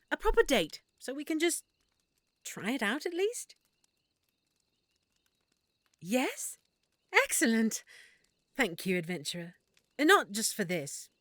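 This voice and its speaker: Casting: woman as a nervous, stammering half-elf merchant, lively pitch, dry close sound. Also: no echo. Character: shy, flustered half-elf woman shopkeeper. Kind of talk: audio roleplay acting.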